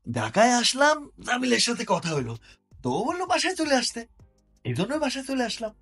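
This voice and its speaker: An overfamiliar village visitor in a film drama, with a high, very animated voice and a Bangladeshi accent. He is insistent and colloquial, heard at some distance from the mic over music. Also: brisk, heavily acted. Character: pushy, overfamiliar male village visitor posing as old friend. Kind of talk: naturalistic short-film drama acting.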